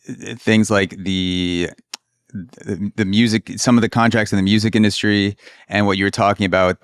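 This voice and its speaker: A man's voice: deep voice, a bit bright, clear, resonant tone, no echo, studio quality. A man in his late twenties hosting a podcast, talking casually and groping for words. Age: 20s. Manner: casual, searching for words, many restarts. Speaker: podcast host. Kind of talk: casual interview and ad read.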